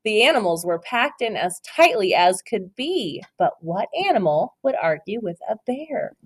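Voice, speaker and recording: A woman reading a picture book aloud to a child, stopping to ask questions, gentle and encouraging with dramatic storytelling. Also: medium pitch, very animated, moderate pace, slightly rough.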